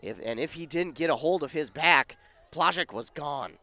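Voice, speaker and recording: Radio play-by-play man, slightly high voice, hoarse and scratchy, energetic on big plays. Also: normal speed, no echo. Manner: excited rises on big plays. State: losing his voice, scratchy. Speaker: play-by-play announcer.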